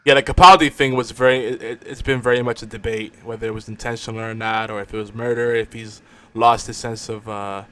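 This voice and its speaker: A man's voice: medium pitch, flat delivery, fairly quick, fairly bright tone, clean tone. A man hosting a podcast in casual chat, talking like an enthusiastic fan. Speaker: podcast host.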